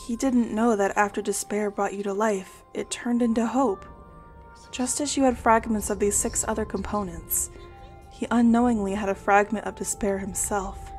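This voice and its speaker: A woman voicing a wise pony elder calmly explaining old secrets, in a fan audio drama. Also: medium pitch, close to an everyday voice. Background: musical score.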